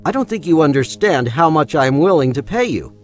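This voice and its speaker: A man's voice: fairly high pitch, very animated, brisk, faint music behind. A man playing an arrogant, self-important wealthy man in an audio drama, formal and pompous in delivery. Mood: veiled menace.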